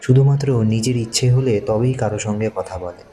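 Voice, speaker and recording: Low, bright male story narrator. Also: normal speed. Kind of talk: scripted thriller story narration. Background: faint music.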